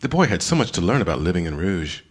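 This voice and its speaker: A man narrating an audiobook in a dramatic scripted style, expressive, with deliberate pauses. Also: low voice.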